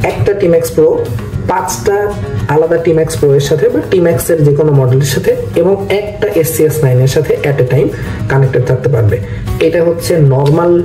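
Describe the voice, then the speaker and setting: A Bangladeshi man explains products in a very dark, mellow, fairly high voice, often dropping in English phrases. He is recorded in an echoey room at some distance from the mic, with music underneath. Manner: mixes English phrases into speech. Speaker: motovlog host and motorcycle rider. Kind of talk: explanatory product review talk to camera. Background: background music.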